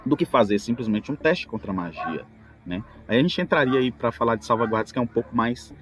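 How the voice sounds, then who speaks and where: A man with a Brazilian accent in casual podcast chat, telling things in an animated way with rhetorical questions. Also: mid-range voice, a little fast. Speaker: tabletop role-player, podcast speaker. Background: music.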